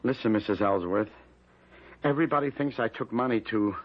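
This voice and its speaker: An actor playing a desperate, hapless husband in an old-time radio drama, pleading and protesting in plain working-class speech. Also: male voice, fairly low pitch, a little fast, close to an everyday voice. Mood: anxious, earnest. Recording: muffled vintage radio recording.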